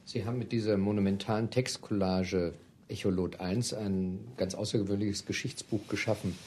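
A man interviewing in a formal, measured way, putting long, carefully worded questions. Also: lowish voice, fairly even intonation, darkish tone, slightly rough.

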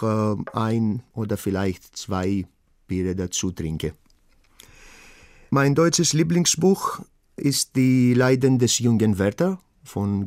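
A middle-aged man from Greece, a German teacher, speaking German with a Greek accent and pausing often with fillers as he talks about himself. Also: medium pitch, a little mellow, no echo, clean studio sound. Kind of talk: personal self-portrait talk.

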